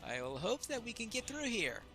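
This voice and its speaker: Male gamer, casual playthrough commentary, bright creaky voice, musing to himself. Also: very high voice, very animated, brisk, soft background music.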